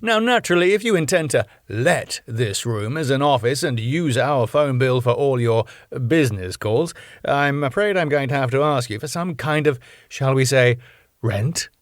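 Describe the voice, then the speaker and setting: A man's voice: mid-range voice, animated, moderate pace, no room sound, studio quality, pitched above the performer's own voice, natural voice. A man voicing a sarcastic, stingy male club manager who talks in a mock-polite way. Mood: smug.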